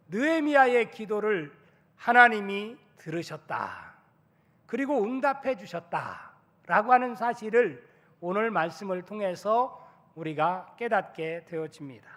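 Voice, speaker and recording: A male pastor with a hoarse voice from a cold, preaching a Sunday sermon in a church, building from quiet words to loud emphasis. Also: high voice. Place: church sanctuary. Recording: long church reverb.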